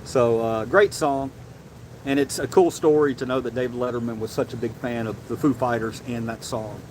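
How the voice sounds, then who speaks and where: A man hosting a casual livestream and reading chat, animated and loose, swearing casually and calling people bro. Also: mid-range voice, normal speed, slight background noise. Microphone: desk mic, sometimes leaning away.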